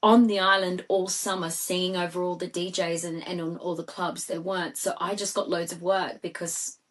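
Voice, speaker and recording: A woman's voice: on the low side, little pitch movement, normal speed. A middle-aged Australian woman, a singer and DJ, chatting as a podcast guest in a rambling way with many fillers. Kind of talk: casual podcast conversation.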